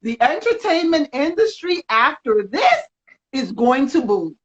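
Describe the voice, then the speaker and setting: A woman giving energetic, rambling encouragement in a casual live video chat, speaking as a magazine representative. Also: sits high, measured pace. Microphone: video call. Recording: dull lo-fi sound.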